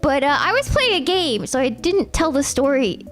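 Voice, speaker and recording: A woman's voice: high-pitched, sing-song, moderate pace, fairly bright tone, faint music behind. A young Canadian woman with a Canadian accent, streaming and chatting casually, rambling and talking straight to her viewers. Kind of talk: casual chat with chat readers.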